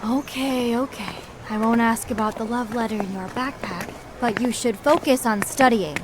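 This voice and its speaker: A woman's voice: a little high, moderate pace, close to an everyday voice. A woman voicing a nagging mother in a game fan dub. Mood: placating. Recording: noisy background ambience.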